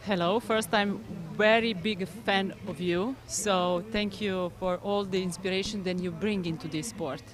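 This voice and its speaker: A woman with a Serbian accent speaking in earnest, slightly halting English as a coach being interviewed at a race. Her pitch sits in a normal middle range. She is recorded outdoors at the course. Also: fairly even intonation. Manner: earnest, non-native phrasing with fillers. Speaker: head coach of a development team. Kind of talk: live interview.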